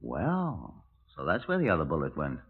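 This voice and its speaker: Wry British police superintendent, animated, tinny old radio. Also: male voice, a bass voice, normal speed, gravelly, heavily acted. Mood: brisk. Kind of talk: 1950s radio drama acting. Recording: tinny, narrow-band vintage radio recording.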